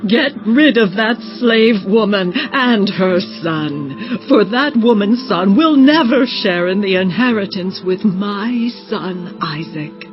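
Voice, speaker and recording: An actress playing an elderly biblical matriarch in a dramatized audio Bible, with emphatic, theatrical delivery. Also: female voice, medium pitch, animated, slow pace, few pauses, muffled recording, soft background music. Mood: angry, demanding. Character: Sarah, elderly new mother, joyful yet sternly jealous.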